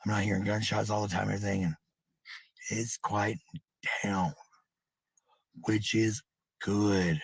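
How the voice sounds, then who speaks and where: A middle-aged man with a gravelly, husky voice talking casually to viewers in a blunt, profane, rambling monologue, repeating phrases for emphasis. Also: fairly low pitch, flat delivery, measured pace, long pauses, no echo, poor audio.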